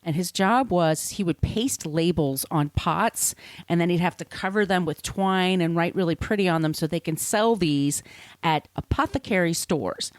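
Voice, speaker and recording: A woman's voice: lowish voice, moderate pace, dry recording. A woman hosting a podcast, reading scripted narration with casual, irreverent asides. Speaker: podcast host.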